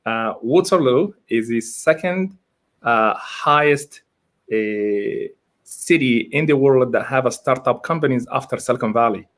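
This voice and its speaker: A man's voice: mid-range voice, lively intonation, measured pace, fairly bright tone. A man from Egypt, a real estate agent, talking as a guest in a live interview, rambling and correcting himself with enthusiasm over a video call.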